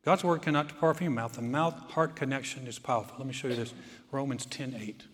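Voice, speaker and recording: A man preaching a sermon as pastor, moving from easy conversational teaching into loud, emphatic delivery. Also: medium pitch, fast talker, in a large hall, a little hiss or hum.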